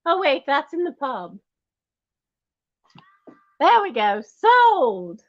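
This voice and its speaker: A woman's voice: high-pitched, huge pitch swings, drawn-out words, dry recording, highs cut off, full character voice. A woman playing a snobbish posh lady in a wig and diamonds, with a put-on posh British accent, running an auction and talking down to her viewers. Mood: haughty. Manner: condescending, calls everyone darling and commoners. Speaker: auction host. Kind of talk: in-character livestream auction.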